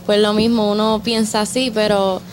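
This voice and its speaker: A woman's voice: mid-range voice, level tone, moderate pace, background noise. A young woman from Puerto Rico with a Puerto Rican accent, chatting informally as an interviewed artist and acting out little quotes as she tells stories. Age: young adult. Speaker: music artist being interviewed. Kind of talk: casual conversational interview.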